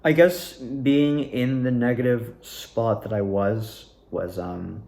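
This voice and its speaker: A man's voice: mid-range voice, steady tone, slow pace, creaky, a little mellow. A young adult man rambling casually and candidly about his own experiences, like a student actor giving a personal recap. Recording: roomy, echoing room sound.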